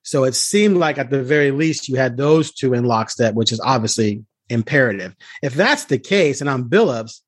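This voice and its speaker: A man's voice: mid-range voice, animated, normal speed, hardly any pauses, dry recording. A man hosting a casual sports podcast, speaking in an emphatic, argumentative, opinionated way. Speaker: podcast host and sports reporter.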